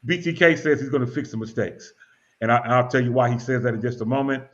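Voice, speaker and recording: An easygoing man hosting a live talk stream at an ordinary middle pitch. He trips over his words and fills gaps with "uh" as he reads viewer comments aloud. The audio is dull and lo-fi, lacking top end. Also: fast talker. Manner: easygoing, repeats himself and fills with "uh". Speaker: show host reading viewer comments.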